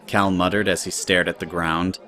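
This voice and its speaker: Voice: low voice, little pitch movement, moderate pace, pushed and bright. A narrator reading scripted story prose in an audio drama.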